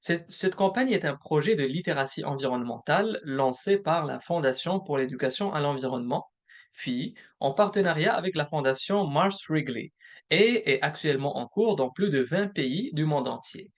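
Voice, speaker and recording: A man presenting a recorded webinar as a program manager, explaining points one by one in a measured way. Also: on the high side, animated. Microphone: recorded presentation voice-over.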